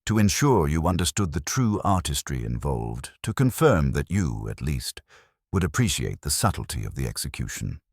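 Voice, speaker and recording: A man's voice: a bass voice, very animated, moderate pace, husky, no echo, studio quality, exaggerated voice. A man voicing a vain theatrical director in a mystery drama, cornered and protesting in a self-justifying way. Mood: wounded pride.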